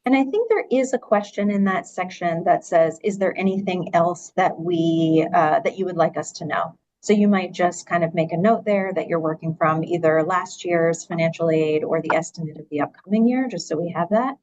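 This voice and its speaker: A woman's voice: fairly low pitch, normal speed, few pauses, a little mellow. A woman moderating an online question-and-answer session, explaining things conversationally over a video call. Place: virtual meeting on a video call. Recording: dull video-call audio.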